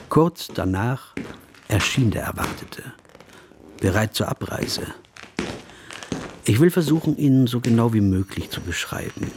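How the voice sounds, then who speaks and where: Reflective traveller, literary narration, measured, low voice, music underneath. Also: male voice. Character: reflective, observant male traveller telling his story.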